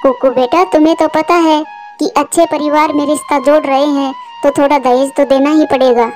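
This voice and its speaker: A woman's voice: high voice, fairly even intonation, normal speed, darkish tone, soft background music, natural voice. A woman voicing a practical, coaxing matchmaker bird auntie in a cartoon story. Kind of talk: Hindi cartoon story voice acting.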